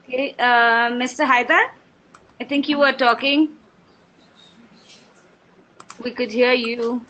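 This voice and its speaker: A woman's voice: on the high side, steady tone, takes it slowly, pushed and bright, dull audio. A woman moderating an online webinar over a video call, polite and hesitant, with frequent ums. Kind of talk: hosting an online meeting.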